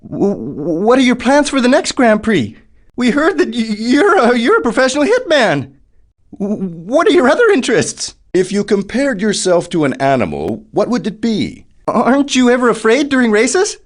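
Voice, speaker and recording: A man's voice: very high-pitched, huge pitch swings, moderate pace, heavily acted. A man voicing an eager, showy TV reporter in a video game, putting questions to futuristic racers in a theatrical manner. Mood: nervous, stammering. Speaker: race interviewer. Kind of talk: video game voice acting, sports interview.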